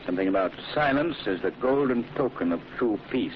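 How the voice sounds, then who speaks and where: An actor playing a grave, thoughtful gentleman sleuth in an old radio drama, brooding and deliberate. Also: medium pitch, lively intonation, natural voice. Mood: pondering, intent. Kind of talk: 1940s radio acting. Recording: muffled old radio recording, faint noise.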